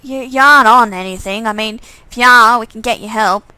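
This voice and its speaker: A woman's voice: on the high side, brisk, bright, forceful tone, clean tone, dry recording, rough recording. A woman voicing a worried, caring father in a visual novel, earnest and pressing.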